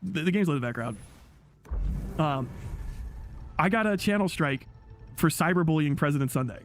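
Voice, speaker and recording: A man's voice: on the high side, lively intonation, moderate pace, soft background music. A man livestreaming casual reaction commentary, swearing freely, mocking and often laughing as he talks. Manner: profane, mocking; laughs often while talking.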